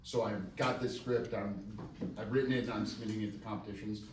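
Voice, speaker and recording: A man's voice: on the low side, steady tone, a little fast, darkish tone. A man speaking as a screenwriter, casually answering audience questions in a rambling, self-deprecating, joking way. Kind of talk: casual live question-and-answer at a script reading. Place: live event room before an audience. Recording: echoey room, distant mic, faint noise.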